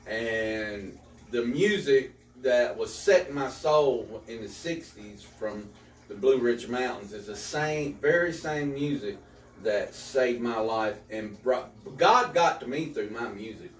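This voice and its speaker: A man's voice: mid-range voice, lively intonation, takes it slowly. A man in his fifties, an author talking earnestly in a casual interview and often repeating words as he starts a thought. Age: 50s. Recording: echoey room, distant mic.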